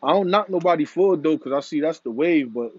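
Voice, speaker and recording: A man's voice: a little high, lively intonation, quick pace, darkish tone, slightly rough, no echo. Chill guy rambling and cursing, dead dry room, kinda dull lo-fi audio. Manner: laid-back, rambling and profane, with slang. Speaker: vlogger. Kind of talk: casual monologue about smoking.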